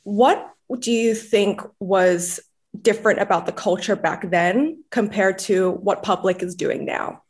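A woman's voice: medium pitch, a little slow. A woman interviewing a guest as podcast host in a conversational style.

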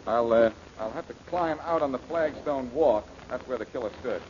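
An actor playing a cocky, unflappable, wisecracking detective in an old-time radio drama, with a glib, teasing manner. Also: male voice, medium pitch, normal speed, darkish tone, close to an everyday voice. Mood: sly. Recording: muffled, noisy old radio broadcast recording.

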